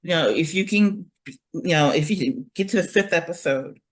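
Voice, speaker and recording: A woman's voice: fairly low pitch, normal speed. A woman in casual chat, talking bluntly and swearing freely. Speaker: podcast guest.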